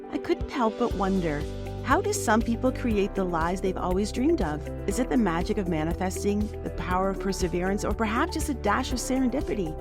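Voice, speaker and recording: A woman hosting a podcast, moving between scripted introduction and interview questions. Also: medium pitch. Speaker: podcast host.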